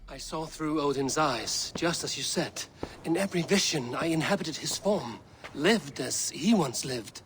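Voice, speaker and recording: An actor playing a stern, fearless Viking raider in a video game cutscene, speaking in a solemn, blunt manner. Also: male voice, a little high, lively intonation, measured pace, fairly bright tone, a little hiss or hum. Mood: solemn, haunted. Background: music.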